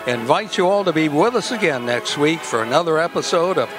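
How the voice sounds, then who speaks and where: A man's voice: a little high, animated, brisk, music in the background. A man announcing in a scripted old-time radio style.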